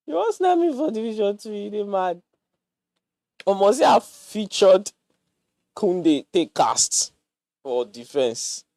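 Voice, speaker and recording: A man's voice: sits high, takes it slowly, vocal fry, pauses often, no room sound. A young Nigerian man giving loose, joking commentary while he plays on a livestream, slipping into pidgin slang. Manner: animated, jokey, mixes pidgin slang. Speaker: gaming streamer.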